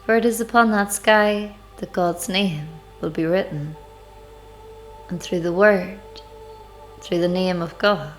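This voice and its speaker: A woman leading a devotional guided meditation, speaking in measured, deliberate phrases with pauses. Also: fairly low pitch. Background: background music.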